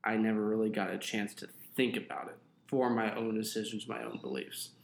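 A man's voice: lowish voice, steady tone, fairly quick, vocal fry, echoey room. A young adult man co-hosting a podcast, chatting casually and rambling a little with fillers. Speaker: podcast co-host.

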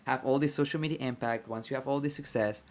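A man hosting a podcast, chatting casually and warmly echoing his guest's words back. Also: mid-range voice, fairly even intonation, fast. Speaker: podcast host. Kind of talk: casual interview chat.

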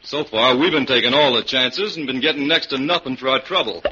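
An actor playing a disgruntled, wary crook with a rough voice and colloquial manner in an old-time radio drama. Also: male voice, medium pitch, fairly quick, heavy background noise, natural voice. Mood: resentful. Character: disgruntled, wary male crook working at a lumber camp. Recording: dull vintage radio recording.

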